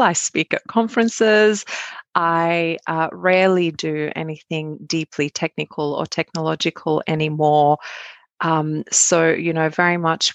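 A woman's voice: low voice, vocal fry, no echo. A woman in her forties, a researcher answering interview questions in a candid, storytelling way. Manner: candid, animated storytelling with asides. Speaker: guest, cybersecurity researcher.

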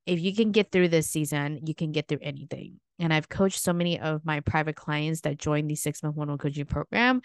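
A woman's voice: sits low, clear, resonant tone, no echo, clean studio sound. Stuffy-nosed upbeat woman podcaster. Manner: chatty, upbeat, frequent "like" and "okay?". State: stuffy nose. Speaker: podcast host and weight loss coach. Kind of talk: casual solo podcast talk.

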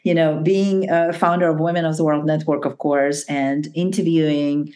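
A woman's voice: low-pitched, steady tone, moderate pace. A woman hosting an interview podcast, affirming her guest and asking long questions. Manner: warmly affirming, repeats praise. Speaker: podcast host, network founder.

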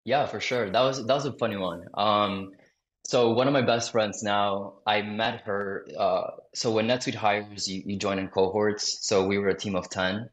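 A young man from South Florida talks casually as a podcast guest. His voice sits fairly low. He rambles through fillers and restarts, and the room around him sounds echoey. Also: steady tone, moderate pace. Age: young adult. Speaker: sales director, podcast guest. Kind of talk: casual interview talk.

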